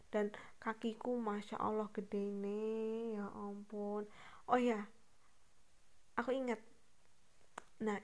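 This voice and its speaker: A young woman hosting a spontaneous solo podcast, telling a rambling, chatty story and often correcting herself. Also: on the high side. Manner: fast-talking. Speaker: podcast host.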